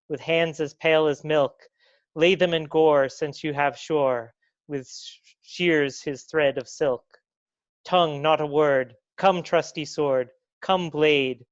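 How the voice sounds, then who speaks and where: Amateur player as lovelorn mourning maiden, hammy stage tragedy played for comedy; slow, mournful delivery, muffled lo-fi call. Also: medium pitch, no room sound, close to an everyday voice. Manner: wailing, mournful, overwrought. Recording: dull, lo-fi video call.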